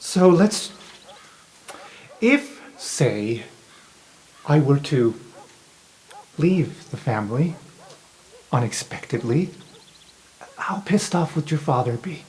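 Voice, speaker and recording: A man's voice: fairly high pitch, sing-song, slow, long pauses, darkish tone, slight background noise, exaggerated voice, poor audio. Man playing a nervous, bitter young bride. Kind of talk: improvised tabletop roleplay.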